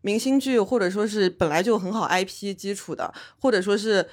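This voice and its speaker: A woman chatting casually on a podcast, arguing her opinion quickly and laughing as she talks. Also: mid-range voice, darkish tone. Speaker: podcast guest. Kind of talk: casual chat.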